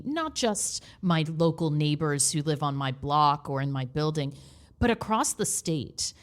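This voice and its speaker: A woman, a volunteer board chair, speaking earnestly and outspokenly in a podcast interview. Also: sits low.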